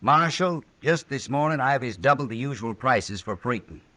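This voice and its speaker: A man's voice: medium pitch, lively intonation, normal speed, bright, forceful tone. A man playing an honest, stubborn mule driver with a rural American accent, plain-spoken, in an old-time radio western. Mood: indignant. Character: honest, stubborn mule-driving freight hauler.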